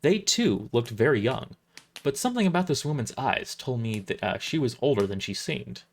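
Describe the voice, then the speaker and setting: Animated male story narrator. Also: mid-range voice, moderate pace, gravelly. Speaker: narrator reading a story on a livestream. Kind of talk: animated scripted narration with casual asides.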